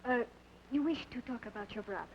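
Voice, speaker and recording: An actress playing a frightened young Latin American woman who speaks formally and in hushed tones, in 1940s radio-play style. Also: on the high side, fast talker, lightly acted. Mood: guarded. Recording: dull old radio recording with faint hiss.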